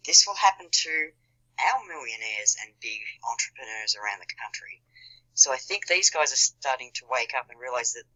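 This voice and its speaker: A man's voice: medium pitch, sharp tone, low-quality recording. A man in casual group chat, talking earnestly and rambling, with many fillers and restarts. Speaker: chat participant.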